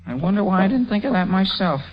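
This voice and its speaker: A man's voice: sits high, lively intonation, fast talker, background noise. An actor playing an eager, gullible teenage boy in old radio comedy style, chatty and polite, full of questions and stammered starts. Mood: curious, excited. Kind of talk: 1930s-40s radio comedy acting. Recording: dull, muffled old radio recording.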